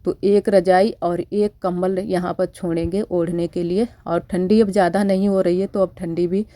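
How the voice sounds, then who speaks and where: A young woman talks through her household chores in a relaxed, chatty way. Her voice is fairly low and mellow, a little thickened by a cold, and it is recorded close and dry with no room sound. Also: normal speed, dry recording. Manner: chatty, homely, repeats fillers. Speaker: village housewife vlogger. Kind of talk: casual narration over daily chores. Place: village home.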